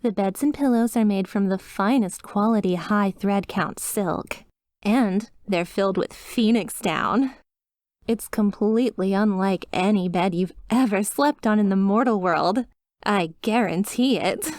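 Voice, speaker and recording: A woman's voice: medium pitch, unhurried, a little mellow, dry recording, clean studio sound, close to an everyday voice. A woman voicing a chipper, sweetly reassuring demon resort receptionist with a polished, over-polite customer service voice in a scripted ASMR roleplay. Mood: helpful, persuasive.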